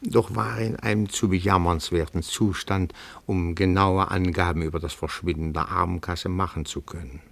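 Pedantic, creaky-voiced little amateur detective. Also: male voice, low voice, fairly even intonation, normal speed, few pauses, a bit bright, exaggerated voice. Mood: precise, reporting. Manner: formal, meticulous, deferential, swelling into rapturous declamation. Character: timid but dogged, pedantic petit-bourgeois amateur detective. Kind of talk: German radio play acting.

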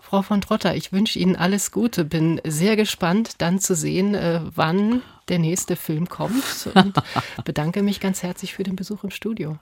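A woman's voice: on the low side, unhurried, darkish tone, a little hiss or hum. A woman hosting a cultural radio interview in a studio, speaking conversationally to her guest.

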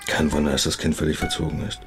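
An actor in a German radio drama playing a coked-up, lustful, contemptuous man with a gravelly voice. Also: very deep voice, completely flat delivery, quick pace. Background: guitar music.

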